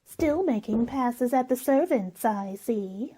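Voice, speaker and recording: A woman playing a jealous, scornful young rich fiancée, sneering deliberately, in a radio drama. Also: on the high side, animated, mellow. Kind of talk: old-time radio drama acting.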